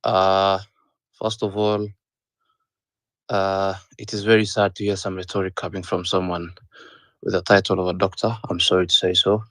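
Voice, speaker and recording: A man in his thirties with a Kenyan accent, speaking earnestly in a panel discussion, often pausing on "uh" and repeating his points. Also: low-pitched, little pitch movement, fairly bright tone, no echo. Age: 30s. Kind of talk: impassioned discussion, mixing English and Swahili.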